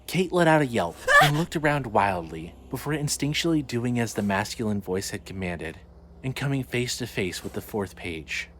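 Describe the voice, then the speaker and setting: A man's voice: mid-range voice, lively intonation, normal speed. A man narrating a scripted dramatic story as the narrator of an audio drama. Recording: faint ambient noise.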